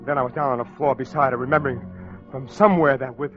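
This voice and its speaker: A man's voice: mid-range voice, steady tone, fast talker, highs cut off, over music, lightly acted. An actor playing a tormented, lovestruck con man in a 1940s radio noir, slangy, intense and melodramatic. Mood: anguished recounting. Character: tormented lovestruck male con man, hard-boiled narrator. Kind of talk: 1940s radio noir acting, first-person narration. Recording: old 1940s radio broadcast.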